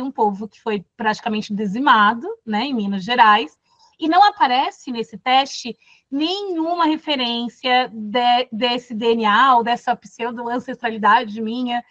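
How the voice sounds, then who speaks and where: A woman from Brazil leads a class discussion, pausing often with fillers as she works through her points. Her voice sits a little high. The sound is dry and dull, like a lo-fi video call. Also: a bit bright, no room sound. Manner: thoughtful, many fillers and restarts. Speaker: course facilitator. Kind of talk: casual classroom talk.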